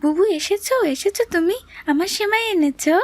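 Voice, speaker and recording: High, childlike, insistent little brother. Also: female voice, normal speed, a little mellow, no echo. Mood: eager. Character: hungry little brother, whiny, stubborn, tender-hearted. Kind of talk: dramatic cartoon voice acting.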